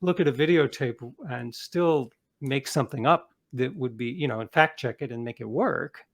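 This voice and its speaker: A man's voice: medium pitch, normal speed. A man speaking as an interview guest and author, telling personal anecdotes in a self-assured, reflective, conversational way.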